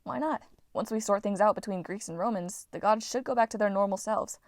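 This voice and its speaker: A woman's voice: mid-range voice, brisk, a little mellow, no echo, studio quality, lightly acted. A woman voicing a cocky, joking teenage boy inventor in a storybook reading, offhand and wisecracking. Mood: smug.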